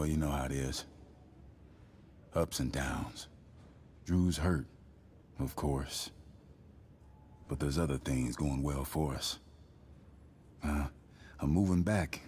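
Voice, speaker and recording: A man's voice: very deep voice, darkish tone, slight background noise, full character voice. A man playing a weary, amiable middle-aged father down on his luck in a video game, hesitant and plain-spoken. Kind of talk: naturalistic video game acting.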